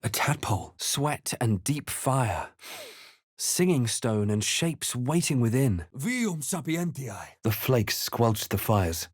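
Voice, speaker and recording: A man voicing a grave, watchful fantasy hero in a video game, with measured, dramatic delivery. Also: medium pitch, very animated, breathy edge, dry recording, studio quality, exaggerated voice. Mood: incanting. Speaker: video game player character voice actor. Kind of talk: scripted game narration and character lines.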